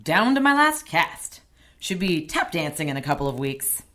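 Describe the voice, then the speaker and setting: A woman's voice: low voice, big pitch swings, normal speed, bright, forceful tone, exaggerated voice. A woman playing a down-to-earth, sceptical ally of a detective, blunt and wry, in a radio drama.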